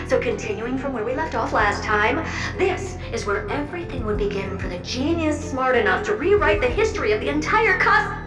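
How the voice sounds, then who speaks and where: A woman voicing a floating puppet helper in a video game, who flatters her mistress and narrates in a theatrical, playful way. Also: a little high. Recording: roomy and distant, with music. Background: game music.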